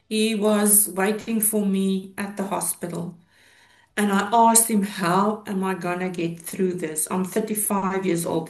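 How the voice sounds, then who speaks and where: A South African woman in her fifties, giving personal testimony in an interview in a halting, reflective way, often pausing mid-sentence. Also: mid-range voice, darkish tone. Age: 50s. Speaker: interview guest, police officer. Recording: roomy, echoing sound.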